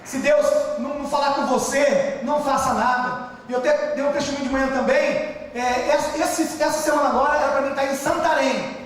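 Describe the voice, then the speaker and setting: A man with a Brazilian Portuguese accent preaching a sermon as pastor, often shouting for emphasis. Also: extremely high pitch, fairly even intonation, brisk. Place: large church hall. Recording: echoey church hall, distant mic.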